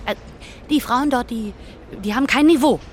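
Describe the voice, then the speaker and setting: A woman's voice: a little high, normal speed, slight background noise, natural voice. An actress playing a pragmatic, unsentimental businesswoman in an audio drama, blunt and matter-of-fact. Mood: businesslike. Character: pragmatic, unsentimental, debt-ridden businesswoman scheming. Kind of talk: audio drama dialogue acting.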